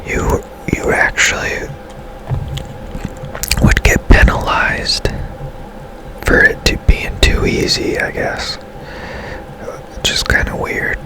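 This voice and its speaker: A man's voice: takes it slowly, long pauses, poor audio. A man whispering casual, rambling ASMR commentary over his own gameplay, musing as he goes. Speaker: gaming streamer narrating own gameplay.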